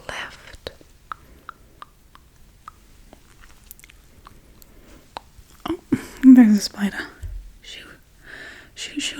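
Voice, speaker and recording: A woman playing a forgetful, darkly playful witch in a soft-spoken, whispery ASMR role-play, murmuring gently and absent-mindedly. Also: fairly high pitch, exaggerated voice. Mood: muttering, curious. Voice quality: breathy, whispery.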